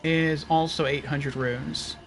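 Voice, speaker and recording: A man's voice: on the high side, steady tone, takes it slowly, creaky, slight background noise, low-quality recording. A man giving casual livestream game commentary, thinking aloud and reasoning things out step by step.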